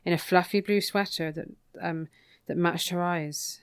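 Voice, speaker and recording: Smooth, low-voiced woman, wry and irreverent. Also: steady tone, measured pace. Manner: irreverent, wry, casually sweary. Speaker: podcast co-host. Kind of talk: casual book recap chat.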